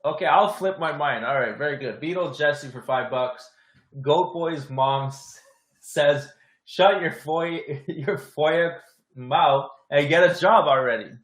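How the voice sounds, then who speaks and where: A man's voice: fairly high pitch, takes it slowly, a bit bright. A man hosting a livestream in a casual, argumentative way, reading out viewer messages in a roomy, echoey recording. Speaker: livestream host reading out super chats. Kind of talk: casual argumentative live panel talk.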